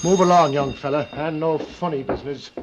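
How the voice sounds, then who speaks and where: A man's voice: fairly high pitch, fairly quick, natural voice. An actor playing a blunt, tough male detective's sidekick in an old-time radio drama. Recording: muffled, noisy old radio recording.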